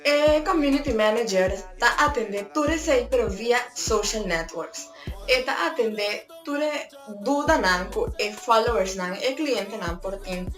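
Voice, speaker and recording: A woman's voice: on the high side, expressive, a little slow. A woman from Curaçao with a Papiamento accent, explaining ways to earn from home in a chatty, casual vlog that mixes languages. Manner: chatty, switching between languages. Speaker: YouTuber. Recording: echoey room with faint music.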